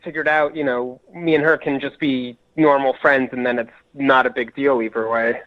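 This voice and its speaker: A man calling in to a radio show over the phone, talking casually in a hesitant, halting way. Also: mid-range voice, steady tone, no echo. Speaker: phone-in caller. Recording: muffled radio phone line, dry.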